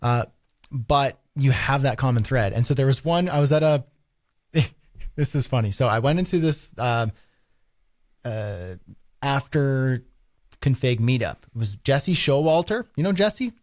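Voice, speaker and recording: A Canadian man with a casual, animated storytelling manner and informal slang, chatting as a podcast co-host. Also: mid-range voice, unhurried, long pauses, no echo, studio quality. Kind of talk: casual anecdotal podcast chat.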